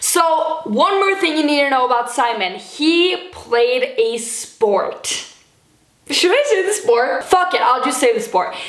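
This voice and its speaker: A woman's voice: high voice, expressive, measured pace, fairly bright tone, roomy sound. A young woman from Sweden telling a casual storytime to her viewers, chatty and full of fillers, swearing freely. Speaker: YouTuber.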